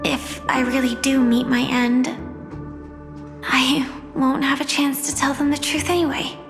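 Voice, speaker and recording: A gentle young heroine in a video game, with a high, girlish pitch. She speaks in a pensive, sincere way, earnest and quietly brave. Long reverb surrounds her voice, with music clearly underneath. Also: flat delivery, measured pace. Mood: gently resigned. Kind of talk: video game story voice acting.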